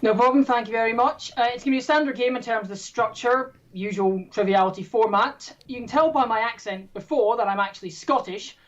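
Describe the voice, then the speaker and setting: A woman hosting a trivia quiz, reading questions in a brisk, playful, self-mocking way. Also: a little high, dull audio. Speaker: quiz host.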